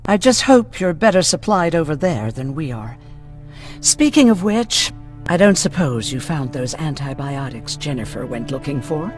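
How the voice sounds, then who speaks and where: A man's voice: on the high side, big pitch swings, normal speed, over music. A man playing a resolute, weary survivor in a video game, speaking in an earnest, dry manner. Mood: resolute, concerned.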